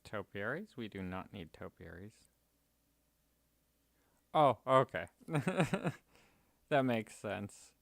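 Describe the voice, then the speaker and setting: A man's voice: lowish voice, big pitch swings, takes it slowly, vocal fry, pauses often, no room sound. A man streaming a game and chatting casually, rambling and correcting himself with small laughs. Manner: rambling, self-correcting, chuckling. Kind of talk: casual chat while gaming.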